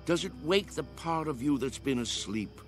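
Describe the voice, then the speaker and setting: An old man played by an actor in a radio drama, pitched a little high and very animated. He coaxes and pleads with dreamy, spirited earnestness, over soft music. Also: normal speed, lightly acted. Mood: urgent pleading.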